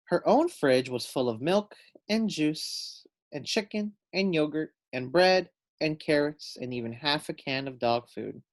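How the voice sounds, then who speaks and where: A man reads a picture book to young children. He takes his time, swings his pitch in a lively way and explains things simply as he goes. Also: unhurried. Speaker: storybook reader. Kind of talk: children's read-aloud narration with asides.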